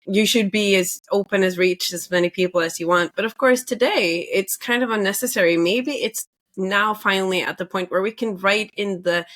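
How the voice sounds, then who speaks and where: A woman's voice: medium pitch, moderate pace, fairly bright tone. A woman from Norway, co-hosting a podcast in chatty, enthusiastic casual talk. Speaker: podcast co-host.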